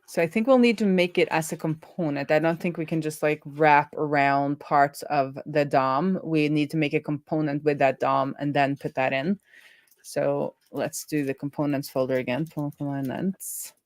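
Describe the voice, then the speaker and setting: A woman live-streaming as a programmer, thinking aloud in a casual, halting way as she mutters through her work. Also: deep voice, steady tone, no echo, clean studio sound. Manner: halting, muttering to herself while working.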